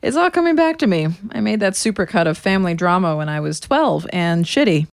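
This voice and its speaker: Sardonic thirty-something American, lowish pitch, audio-drama confessional, dry close sound, casually profane. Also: female voice, sing-song, fairly quick, gravelly, clear, resonant tone, dry recording. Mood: dry, nostalgic. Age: 30s.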